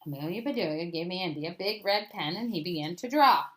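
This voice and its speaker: Animated woman reading a children's story. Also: fairly low pitch, fairly quick. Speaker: read-aloud storyteller. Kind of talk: expressive children's book narration.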